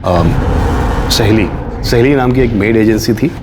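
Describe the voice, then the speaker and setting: A man playing an earnest, polite civilian informant in a TV crime drama, speaking carefully and haltingly. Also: on the low side, noisy background, natural voice. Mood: earnest, uneasy. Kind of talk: Hindi TV crime serial acting. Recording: dramatic music under dialogue.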